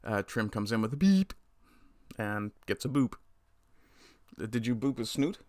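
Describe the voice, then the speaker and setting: A man's voice: medium pitch, lively intonation, measured pace, a little mellow, dry recording, clean studio sound. A man hosting a casual livestream, bluntly commenting on news and reading chat, often swearing as he rants. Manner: blunt, profane, ranting.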